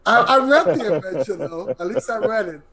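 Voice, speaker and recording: A man's voice: high-pitched, expressive, brisk, a loud crowd. A man co-hosting a podcast with casual, joking, laid-back banter. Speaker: podcast co-host.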